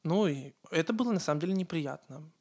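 Earnest rambling man, slightly higher voice. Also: expressive, fast, a little mellow. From Ukraine. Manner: earnest, rambling, self-correcting. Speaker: podcast co-host. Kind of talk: casual podcast conversation.

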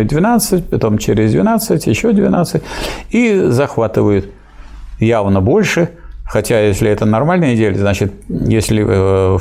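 A man in a casual discussion, talking animatedly, with sharp pitch jumps for emphasis and mocking quotes of others. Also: vocal fry.

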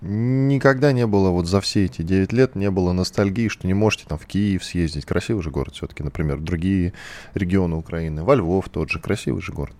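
A man's voice: deep voice, fast, a little mellow. A man co-hosting a political talk show, asking probing questions in a conversational, teasing way. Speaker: radio show co-host, interviewer.

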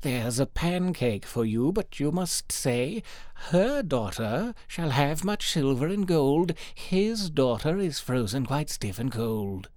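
A man's voice: medium pitch, sing-song, takes it slowly, darkish tone, dry recording, clean studio sound, pitched above the performer's own voice. A man voicing a wicked, bossy stepmother in a fairy tale, scolding and commanding with spite.

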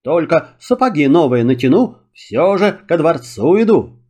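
Male narrator as storybook Cossack, bold, free-spirited, hearty, high voice, expressive swings. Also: unhurried. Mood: hearty, flirtatious. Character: bold, cheerful, free-spirited Cossack hero, plain-spoken.

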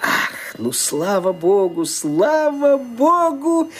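A scheming young man in a radio drama, high-pitched and very expressive. His delivery is nervy and theatrical, full of exclamations and repeated words. He sounds outwardly gentle with a smug streak underneath. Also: very slow, husky, exaggerated voice. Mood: relieved, gloating. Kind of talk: radio play acting.

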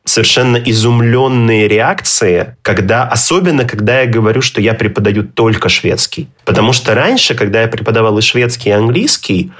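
A man who teaches Swedish, talking through his experiences as a podcast guest, reflective, pausing and restarting with many fillers. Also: medium pitch, fairly bright tone. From Russia. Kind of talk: casual interview chat.

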